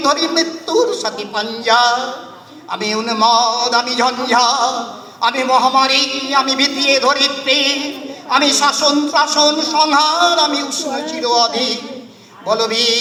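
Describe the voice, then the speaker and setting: A man's voice: very high voice, bright, forceful tone. A man reciting poetry in a dramatic, declamatory style, with emphatic, incantatory delivery in short phrases. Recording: echoey hall reverb, distant voice.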